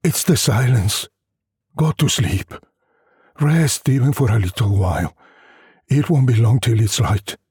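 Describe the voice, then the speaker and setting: Man playing a husky, bewildered husband. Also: medium pitch, measured pace, pauses often, a little mellow, no room sound, studio quality. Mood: tired, resigned. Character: bewildered, weary husband and father, questioning.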